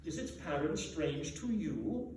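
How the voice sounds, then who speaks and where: Anguished moaning ghost in an echoey hall. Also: male voice, on the high side, sing-song, darkish tone. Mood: groaning, bitter. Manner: moaning, groaning, drawn-out theatrical declaiming. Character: anguished male ghost of a dead miser, doomed, mournful. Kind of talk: dramatic reading of a Victorian ghost story. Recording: echoey room, distant mic, faint noise.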